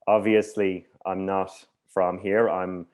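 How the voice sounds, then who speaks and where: An Irish man who stutters, hosting a workshop presentation in a measured way with slight blocks on some words. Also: low voice, flat delivery, darkish tone. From Ireland. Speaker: workshop host. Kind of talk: conference presentation. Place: video call.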